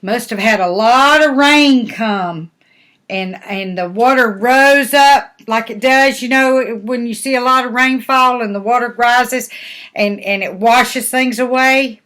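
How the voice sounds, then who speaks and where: Older woman reading slowly to young children. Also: a little high. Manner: gentle explaining, folksy, addressing young children. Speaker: grandmother reading a picture book to her grandchildren. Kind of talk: storybook read-aloud with chatty asides.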